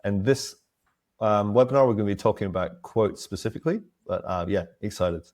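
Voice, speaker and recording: A man from Australia, a webinar guest, sounding croaky in the early morning, friendly and quick to laugh, speaking over a video call. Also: lowish voice, a little mellow. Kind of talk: casual webinar chat.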